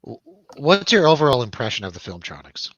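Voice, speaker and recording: A man's voice: mid-range voice, big pitch swings, a little fast, a bit bright, dry recording. Guy hosting a loose movie review chat, wry and rambly, kinda dull dry audio. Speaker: host of a film review show. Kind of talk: casual chat, film review.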